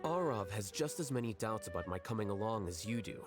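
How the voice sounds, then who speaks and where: A cool, condescending young scholar voiced by a man speaks in a low, curt, deadpan way. Game music plays clearly behind him. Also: a little fast, lightly acted. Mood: lecturing. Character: aloof, dry-witted young male scholar. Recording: game dialogue over music.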